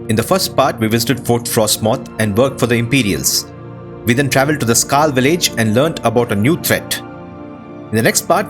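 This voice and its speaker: Expressive man doing game commentary over music. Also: mid-range voice. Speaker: host of a game playthrough channel. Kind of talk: casual game commentary with narrated recaps. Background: new-age music behind the voice.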